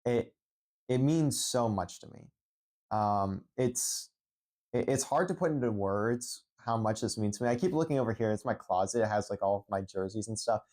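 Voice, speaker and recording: A young man from Central Pennsylvania with a fairly low voice talks off the cuff into his phone. He rambles, restarts sentences and leans on "um" and "like" as he goes. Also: normal speed, darkish tone. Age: young adult. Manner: rambling, many restarts and fillers. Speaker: esports head coach. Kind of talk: unscripted vlog monologue.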